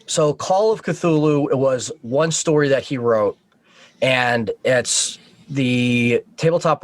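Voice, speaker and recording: A man's voice: mid-range voice, no echo. A man chatting casually as a podcast guest over a video call, explaining things in an informal, geeky way.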